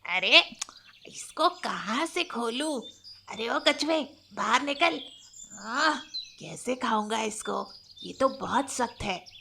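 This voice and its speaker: A sharp, forceful leopard played by a woman, greedy and none too clever. He blusters and menaces in drawn-out words at a slow pace, like a children's cartoon fable villain. There is noise and birdsong behind him. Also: on the high side, expressive, gravelly, full character voice. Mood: frustrated. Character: greedy, gloating, dim-witted male leopard hunting a turtle. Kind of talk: animated children's fable character voice.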